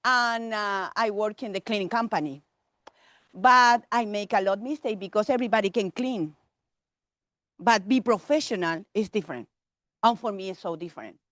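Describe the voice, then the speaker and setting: A middle-aged woman from Chile with a Chilean Spanish accent, speaking halting broken English as a vendor presenting her business to a meeting. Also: medium pitch, a bit bright, no echo. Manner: halting broken English, chatty, laughs often. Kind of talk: informal pitch to a meeting.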